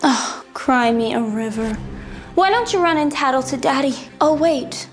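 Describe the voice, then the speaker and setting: A woman's voice: high voice, lively intonation, a little slow. An actress playing a spoiled, spiteful young noblewoman in an audio drama, taunting with mock sweetness. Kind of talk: dramatised audio series acting. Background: music.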